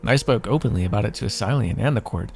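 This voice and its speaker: A man's voice: on the low side, fast talker, vocal fry, faint music behind. A man playing a wry, teasing royal heir and former mercenary in an ASMR fantasy roleplay, with formal, theatrical phrasing. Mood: reflective, guarded.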